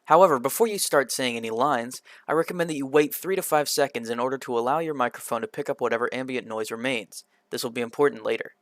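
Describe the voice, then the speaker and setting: A man presenting a scripted software tutorial in an instructional, step-by-step manner, occasionally stumbling over a word. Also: medium pitch, fast talker, few pauses, no room sound. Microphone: computer microphone in a quiet home room, voice-over.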